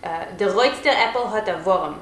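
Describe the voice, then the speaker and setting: A woman with flavoured speech reads short folk sayings one by one. Her pitch is average for a woman. The recording has a roomy echo and a faint layer of background noise. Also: a little slow, a bit bright. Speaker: reader of Yiddish proverbs.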